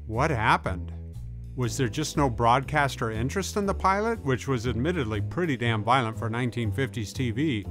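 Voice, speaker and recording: Male narrator, mid-pitched, scripted yet conversational history documentary read, guitar music clearly underneath. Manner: informal, conversational wording. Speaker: narrator and presenter.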